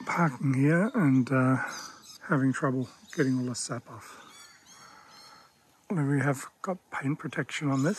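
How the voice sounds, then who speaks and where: A relaxed Australian man in his fifties talks casually to camera at a shady bush campsite, with plenty of ums and uhs. His voice sits at an ordinary middle pitch. Soft outdoor noise and birdsong run underneath. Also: poor audio. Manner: relaxed, chatty, with many fillers. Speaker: travel vlogger. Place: outdoors in a pine forest campsite. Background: birdsong and bush ambience.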